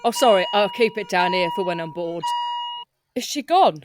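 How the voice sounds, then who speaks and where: A woman's voice: fairly low pitch, expressive, moderate pace, loud music behind the voice. A woman performing improvised comedy dialogue as one of the players.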